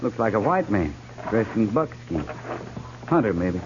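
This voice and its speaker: An actor playing a plain-spoken, bashful cavalry sergeant with a folksy, rustic way of talking in a 1950s radio western. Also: male voice, fairly low pitch, very animated. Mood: watchful. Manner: folksy, rustic, halting when embarrassed. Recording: muffled, noisy 1950s radio recording.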